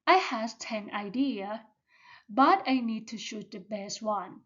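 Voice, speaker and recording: A woman's voice: fairly high pitch, dull audio. A woman whose first language is Thai, giving a careful step-by-step presentation as a student. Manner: slow, careful, step-by-step delivery.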